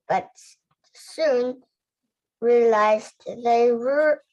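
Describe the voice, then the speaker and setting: A female student reading a novel aloud in a halting, careful way, word by word. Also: a little high, muffled recording. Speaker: student reader.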